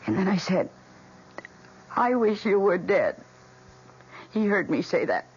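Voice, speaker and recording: A woman's voice: medium pitch, sing-song, slow, a little mellow, heavily acted. A woman with an American accent playing a fragile, obsessive young housewife in a radio drama, with intense, dramatic delivery. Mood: dark, obsessive. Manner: intense, dramatic, breathy emphasis. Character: fragile, obsessive housewife confessing her killer plants' deed. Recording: dull, noisy old radio recording.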